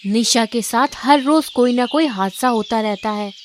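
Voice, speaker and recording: A woman's voice: fairly high pitch, a little slow, a little hiss or hum. A woman reading scripted story narration.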